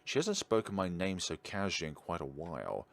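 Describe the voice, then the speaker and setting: A low-pitched man hosts a game playthrough. His delivery is lively and swings widely in pitch as he moves between reading narration aloud and making chatty asides. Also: fairly quick, creaky. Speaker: gaming video host. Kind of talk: let's play commentary, reading visual novel text aloud.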